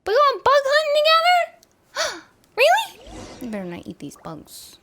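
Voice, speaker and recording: A woman streaming a game with casual, playful live reactions, teasing and expressive. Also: extremely high pitch, a little slow, very noisy. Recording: game music behind.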